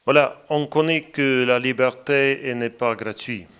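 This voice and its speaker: A man from Latvia, a native Latvian speaker with a Latvian accent, giving a radio interview as a guest in slow, halting speech, pausing often to search for words. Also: medium pitch, a bit bright, clean tone. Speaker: interview guest, parliament president.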